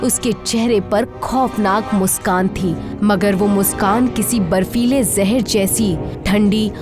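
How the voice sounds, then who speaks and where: A woman's voice: medium pitch, steady tone, takes it slowly, over music. A woman telling a scripted horror story as narrator, in a suspenseful, theatrical manner.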